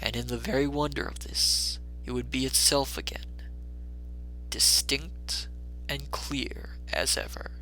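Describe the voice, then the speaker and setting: A man's voice: medium pitch, fairly even intonation, unhurried, bright, forceful tone, a little hiss or hum, low-quality recording. A man narrating a classic story in a dramatic audiobook style.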